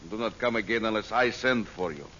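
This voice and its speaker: An actor playing a mocking, imperious villain bent on power in an old-time radio adventure. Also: male voice, fairly low pitch, fairly quick, pushed and bright. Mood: curt, commanding. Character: malignant male villain, mocking, dreams of ruling world. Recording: muffled, hissy old radio recording.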